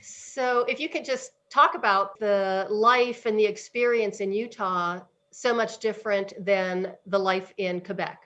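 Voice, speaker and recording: A middle-aged woman hosting an interview podcast, warmly enthusiastic and effusive in her praise for her guest. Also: mid-range voice, steady tone, unhurried, few pauses, muffled recording. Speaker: podcast host.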